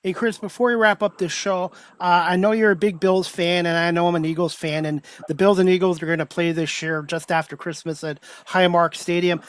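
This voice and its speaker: A man's voice: high-pitched, little pitch movement, fairly quick, hardly any pauses, clean tone, dry recording. A man hosting a talk show, asking his guest friendly, plain questions. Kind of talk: interview questions.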